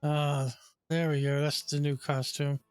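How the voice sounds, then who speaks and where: A man's voice: fairly high pitch, little pitch movement, a little slow, smooth, dry recording. A man giving casual commentary as he plays through a video game. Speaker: game streamer. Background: game music.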